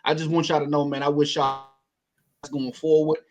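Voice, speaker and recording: A man's voice: on the high side, little pitch movement, normal speed, highs cut off. A middle-aged man hosting a casual interview, loose and slangy, full of "man" and "you know what I'm saying". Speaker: interview host.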